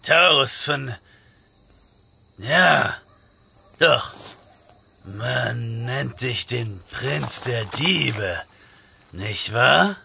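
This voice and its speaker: An actor playing a gruff, taciturn barbarian thief in a fantasy audio drama, terse and guarded, with a gravelly voice. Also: male voice, mid-range voice, expressive, very slow, long pauses, sharp tone, slight background noise, full character voice.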